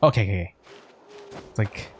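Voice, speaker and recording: A man's voice: a little fast, slight background noise. A man streaming a video game, chatting casually and thinking aloud, with game music in the background. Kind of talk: casual let's play commentary.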